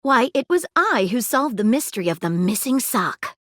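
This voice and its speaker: Detective magnifying glass, noir patter, suspicious and playful, lively pitch, dry close mic. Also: female voice, moderate pace, dry recording. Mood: sleuthing. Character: eager female detective magnifying glass, noir-style sleuth. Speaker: voice actor. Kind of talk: video game character voice lines. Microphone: close studio mic.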